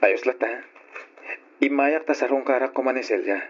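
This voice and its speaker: A man's voice: medium pitch, normal speed, close to an everyday voice. An actor with an Ilocano accent playing a devoted, polite young working man in love, in an old radio drama. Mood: confused, uneasy. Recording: muffled, noisy old radio drama recording.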